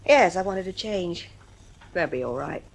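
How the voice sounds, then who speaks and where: An actress playing an anxious, soft-hearted, fretful mother in a 1950s radio drama, on a noisy old recording. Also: fairly low pitch, expressive, a little slow, creaky, exaggerated voice. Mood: uneasy.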